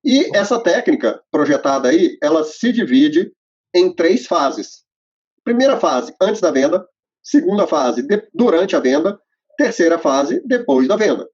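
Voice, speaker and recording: A man's voice: fairly high pitch, sing-song, measured pace, a little mellow, breathy edge. A man presenting a step-by-step method to accountants in an explanatory, instructional way, with frequent fillers and restarts. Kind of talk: instructional conversation. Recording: muffled lo-fi audio.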